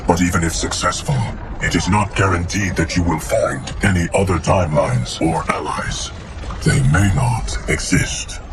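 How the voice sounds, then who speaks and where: Man playing a very deep, solemn ancient steward. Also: background noise, lightly acted. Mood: calm, grave. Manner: measured, formal. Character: ancient, loyal, solemn male steward of time. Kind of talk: video game cinematic acting. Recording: music behind the voice. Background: music.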